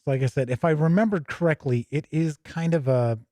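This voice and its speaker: A man's voice: on the high side, fairly quick, mellow, no room sound. An American man hosting a tabletop gaming show, reviewing casually off the cuff and thinking aloud as he rambles.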